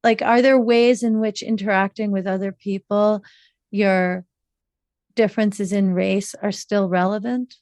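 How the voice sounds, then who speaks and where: A woman hosting a relationship podcast, asking slow, thoughtful questions with measured, drawn-out phrasing. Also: mid-range voice, steady tone, a little mellow, dry recording, studio quality. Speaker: podcast host, relationship coach.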